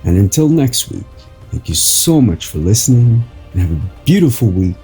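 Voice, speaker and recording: A man reading an inspirational article as a host, in a deliberate, phrase-by-phrase narration. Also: mid-range voice, big pitch swings, warm tone, slightly rough, soft background music. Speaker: podcast host and article reader.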